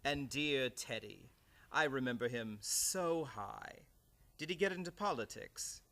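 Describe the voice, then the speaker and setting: A man playing a menacing, murderous prodigal nephew in a radio play, speaking with a slow, ominous drawl. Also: mid-range voice, expressive, gravelly, fairly bright tone. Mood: smooth menace. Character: menacing grown nephew, a killer with a altered face. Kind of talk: old-time radio play acting.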